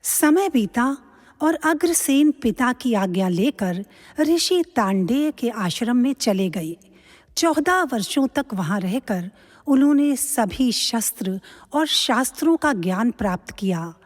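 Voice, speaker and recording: Female narrator, fairly high voice, measured formal epic storytelling, long hall reverb. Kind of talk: formal scripted mythological narration.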